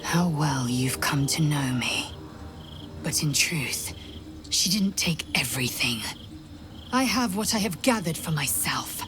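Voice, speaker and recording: A proud, embittered warrior woman from a fantasy game, fierce and resolute. She speaks in a lowish, measured voice with formal, solemn intensity, over music. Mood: solemn resolve. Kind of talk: dramatic video game acting.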